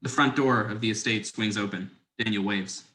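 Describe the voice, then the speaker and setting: Low-voiced male narrator, roomy sound. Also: fairly even intonation, normal speed, pushed and bright. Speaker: narrator reading stage directions. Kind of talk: scripted narration.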